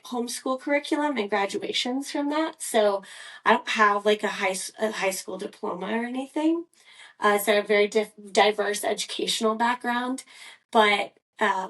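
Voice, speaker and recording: A woman's voice: medium pitch, recorded from a distance, rough recording. A woman from Scotland, co-hosting a podcast and telling her life story in a rambling, chatty way with lots of fillers. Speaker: podcast co-host. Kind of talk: casual personal storytelling.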